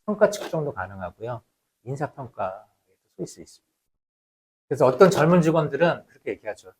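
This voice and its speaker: A man's voice: mid-range voice, very animated, brisk, a little mellow. A man giving an explanatory lecture as a researcher, emphatic and repeating his key points.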